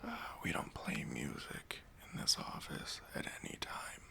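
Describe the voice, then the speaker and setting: A man playing a stingy, demanding boss in an ASMR roleplay, speaking in a breathy, hesitant whisper with condescending politeness, close to the microphone. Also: a little slow, sharp tone. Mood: condescending, fussy. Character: stingy, demanding male boss giving a job interview.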